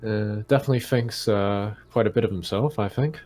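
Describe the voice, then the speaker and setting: A man's voice: fairly low pitch, a little fast, a little mellow. A man talking casually as a tabletop roleplaying player, hesitant and polite. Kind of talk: casual game-table chat.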